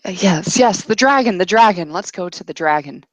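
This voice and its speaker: A woman playing an intense, stubborn fae woman in a tabletop roleplay, speaking deliberately and earnestly. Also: fairly low pitch, expressive, no room sound, low-quality recording. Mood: insistent. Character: intense fiery fae woman, formerly human, brooding and stubborn.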